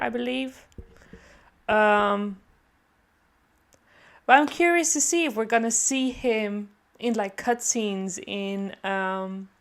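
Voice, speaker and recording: A woman giving casual reaction commentary on a game, musing aloud hesitantly and often repeating herself. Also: a little high. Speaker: gaming reaction video creator.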